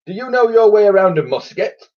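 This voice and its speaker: Man doing a booming pompous old admiral. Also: very animated, a little fast, muffled recording. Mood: blustering. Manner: booming, bossy, thunders orders. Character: blustering old male navy admiral, pompous.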